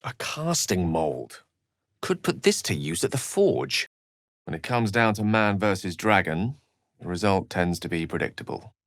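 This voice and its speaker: A man's voice: sits low, big pitch swings, unhurried, no room sound, clean studio sound, heavily acted. An actor voicing an eloquent, wry, bookish male wizard companion in a video game, with a theatrical, erudite delivery. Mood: wry, measured. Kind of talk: video game character voice acting.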